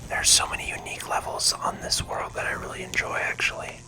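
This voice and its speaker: A game channel host giving ASMR commentary in a soft, breathy whisper, hushed and unhurried as they explain the play. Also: over music.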